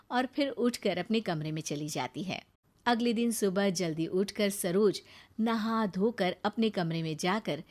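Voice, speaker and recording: A woman's voice: medium pitch, darkish tone. A woman reading scripted narration for a Hindi moral story as its storyteller.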